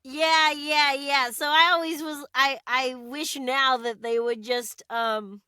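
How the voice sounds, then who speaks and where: An American woman chatting casually as an interview guest, expressive and chatty. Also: high-pitched, slow, no echo, studio quality. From United States.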